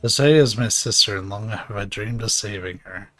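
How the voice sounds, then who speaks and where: An earnest young game warrior, voiced by a man, bright and forceful. he speaks low and from the heart, with soft music behind. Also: normal speed, no echo, lightly acted. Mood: resolute. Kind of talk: video game voice acting.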